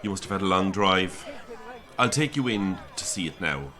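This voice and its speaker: Low-voiced Irish priest. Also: male voice, animated, normal speed, pushed and bright, some chatter behind. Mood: eager, chatty. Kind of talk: naturalistic audio drama acting.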